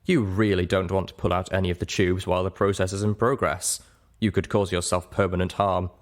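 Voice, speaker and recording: A genial stallion doctor, as a male reader plays him, patient and candid with a polite, measured manner. The voice is on the low side, in the style of an audiobook fantasy tale. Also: level tone, close to an everyday voice. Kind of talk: audiobook character voice in storybook reading.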